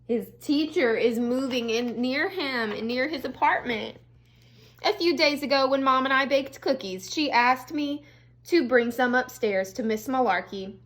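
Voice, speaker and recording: A woman, an elementary school teacher, reading a storybook aloud to young children with expressive delivery and casual, chatty asides. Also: high-pitched, unhurried.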